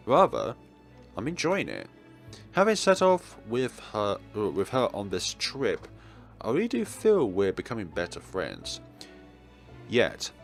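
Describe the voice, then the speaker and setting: A young man with a fairly low voice reads a visual novel aloud. His pitch swings widely in theatrical, expressive narration. Game music plays underneath. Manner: theatrical, expressive, with playful asides. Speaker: let's player.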